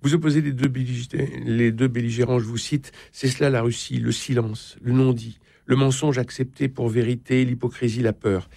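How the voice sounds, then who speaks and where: A man's voice: medium pitch, steady tone, normal speed, gravelly, hardly any pauses, mellow, no room sound. A man hosting a literary interview, talking and reading book passages aloud. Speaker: interviewer, literary show host.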